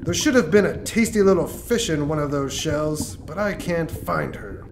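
A woman's voice: sits low, normal speed, a little hiss or hum, pitched below the performer's own voice. A woman voicing a hungry, scheming male shark villain in a children's puppet show, with a menacing, theatrical delivery. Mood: grumbling.

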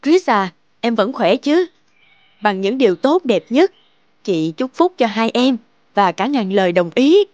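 A woman voicing a kindly, affectionate female relative in an animated drama, speaking warmly. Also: fairly high pitch, measured pace, dry recording, dull audio. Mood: delighted. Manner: warm, affectionate. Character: kindly, delighted older sister and doting mother.

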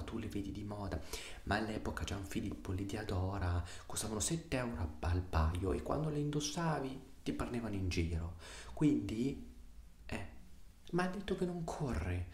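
A man in his twenties playing an exasperated father scolding his teenage son in a hushed ASMR roleplay, with a reproachful, repetitive manner. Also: fairly low pitch, close to an everyday voice. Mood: weary reproach. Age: 20s. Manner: hushed, reproachful, repetitive, sometimes dropping to a whisper. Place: small room at home. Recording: roomy indoor echo.

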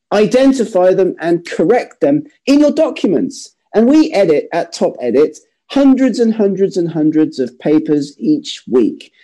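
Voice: mid-range voice, warm tone, poor audio. A webinar presenter talking over slides in an emphatic, repetitive way, stressing points by saying words again. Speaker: webinar presenter for an editing service.

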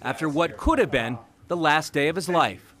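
A man's voice: fairly high pitch, animated, moderate pace, a bit bright. A male news reporter reading a scripted voice-over with emphatic storytelling delivery.

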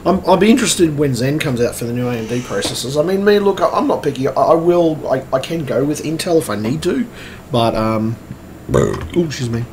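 A man with a croaky, strained voice, giving a casual, hesitant, rambling update like a vlogger. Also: a little high, animated, fairly quick, darkish tone. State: strained voice, throat-clearing. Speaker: vlogger and computer repairer. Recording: clearly noisy room recording.

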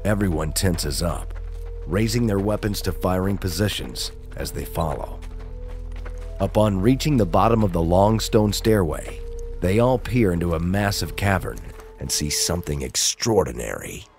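A man's voice: sits low, lively intonation, moderate pace, creaky, a little mellow, faint music behind. A man reading scripted dramatic narration as the narrator of an audio drama.

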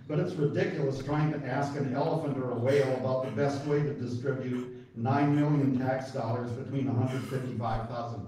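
A man's voice: mid-range voice, a little mellow. An American man delivering a prepared, formal and pointed public comment at a meeting. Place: large indoor meeting room, from far off. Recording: echoey meeting hall, distant, crowd noise.

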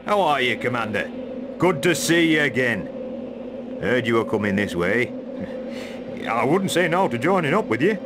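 A tough old-hand warrior from a fantasy video game, voiced by a man. He is hearty and plain-spoken, with a medium-pitched voice over loud background noise. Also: big pitch swings, moderate pace, pauses often, lightly acted. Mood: hearty, approving. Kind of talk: video game cutscene acting.

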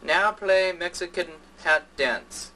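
A man voicing a slow, menacing, commanding figure in a comic science video. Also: high voice, bright, forceful tone, slight background noise, exaggerated voice, low-quality recording.

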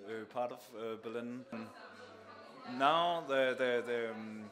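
A young man from Denmark, answering interview questions thoughtfully in a cafe, pausing and restarting as he thinks. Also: medium pitch, crowd noise. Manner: thoughtful, many fillers and restarts. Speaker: sound artist, interview guest. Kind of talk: relaxed sit-down interview. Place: indoors in a small cafe. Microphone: handheld mic held by interviewer.

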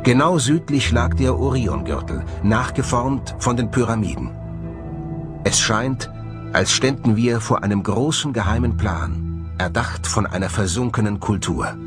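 A man reads scripted documentary narration in a measured, solemn way. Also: medium pitch, expressive. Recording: music bed under the voice. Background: music.

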